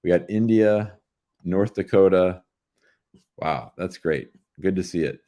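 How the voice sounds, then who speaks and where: A man with an American accent and a distinctly low voice hosts a live show. He talks casually and informally to callers and to the people watching. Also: a little slow, dark tone. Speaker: host of a live call-in show.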